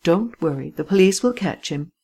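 A woman reading an audiobook voices a clever gentleman thief who gives brisk, imperious instructions in a slightly raised voice. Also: lowish voice, animated, breathy edge. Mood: urgent. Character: cool, commanding gentleman thief, clever and persuasive.

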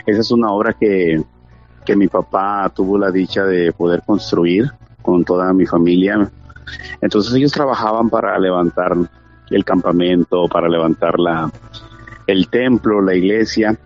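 A man's voice: low-pitched, unhurried. A man in his thirties with a Mexican accent, earnestly telling his church testimony in an interview, with many fillers and restarts. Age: 30s. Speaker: interview guest. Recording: dull lo-fi sound with faint music.

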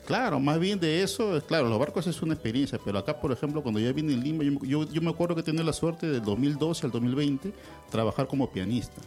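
A man, a pianist guest recalling his work and past gatherings in an earnest, quick way. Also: fairly high pitch, expressive, darkish tone, soft background music. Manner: quick, earnest reminiscing with repeated words. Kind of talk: casual conversation.

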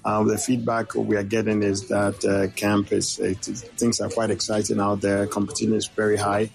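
A man's voice: fairly low pitch, monotone, normal speed, vocal fry, faint music behind. A man speaking as a football official in an interview, hesitant with many fillers and restarts. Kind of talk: interview clip.

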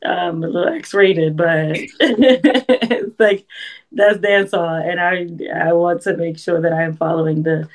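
Laughing woman. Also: fairly low pitch. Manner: laughs often, talks in quick bursts with restarts. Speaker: guest songwriter. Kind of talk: casual interview chat.

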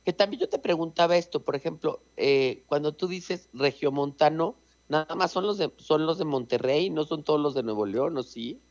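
A man's voice: on the high side, normal speed, a little mellow, lo-fi sound. A Mexican man with a Mexican Spanish accent, chatting casually and reading out an old news story, explaining with asides and repeated words.

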